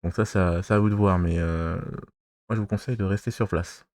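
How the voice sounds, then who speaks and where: Deep-voiced guy from france, rambly and halting, lots of pauses, dry close sound. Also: steady tone, darkish tone, no echo. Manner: loses his thread mid-talk. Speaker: vlogger giving dating advice. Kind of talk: casual to-camera monologue.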